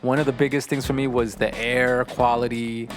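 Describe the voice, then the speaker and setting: A man's voice: mid-range voice, flat delivery, moderate pace, music in the background. A man hosting a casual podcast chat, joking and teasing his guest. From New York City. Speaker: podcast host, visual artist.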